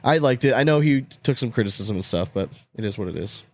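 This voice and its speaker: A man's voice: lowish voice, lively intonation, fast talker, dry recording. A man hosting a casual sports podcast chat, talking in a blunt, emphatic way and swearing freely. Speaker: podcast host.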